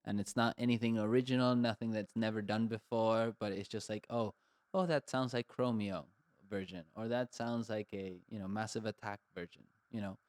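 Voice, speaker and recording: A man with a fairly low voice, recorded dry and close, muses aloud as a podcast co-host. He is from Argentina and talks in a loose, thoughtful ramble. He leans on "like" and "you know" as he works through his ideas. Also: fairly even intonation, normal speed, darkish tone, no room sound. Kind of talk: casual conversation.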